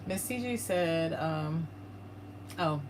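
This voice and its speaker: Woman co-host, very low monotone voice, reads chat, noticeable background noise. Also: takes it slowly. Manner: flat, monotone delivery. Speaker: paranormal livestream co-host reading chat comments. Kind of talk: casual livestream chat.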